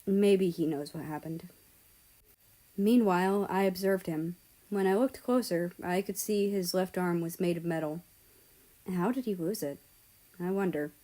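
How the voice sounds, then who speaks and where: A woman narrating a scripted first-person story in a measured, deliberate way. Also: lowish voice, dark tone, low-quality recording. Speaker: audiobook-style reader of fan fiction.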